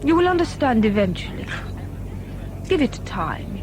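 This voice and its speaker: A woman playing a calm, cryptic female mentor in an audio drama, speaking in a measured, formal and portentous way. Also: high-pitched, music in the background. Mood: solemn, firm.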